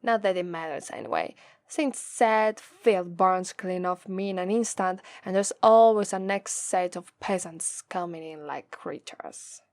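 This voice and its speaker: A woman with an Italian accent voicing a gloating, teasing female demon captor in a slow, drawling ASMR roleplay. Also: lowish voice, darkish tone, no room sound. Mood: playful, teasing. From Italy. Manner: slow, drawling, taunting, playful menace.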